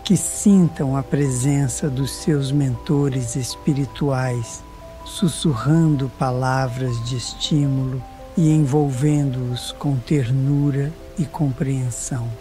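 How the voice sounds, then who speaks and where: A Brazilian speaker reading a solemn scripted prayer in a reverent, soothing, measured manner. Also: medium pitch, fairly even intonation, dark tone, faint music behind. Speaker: prayer reader.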